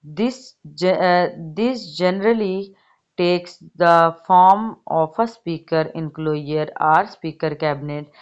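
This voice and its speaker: A woman reading an encyclopedia article aloud, haltingly, stumbling over words and repeating them. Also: deep voice, smooth. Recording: dull, lo-fi recording.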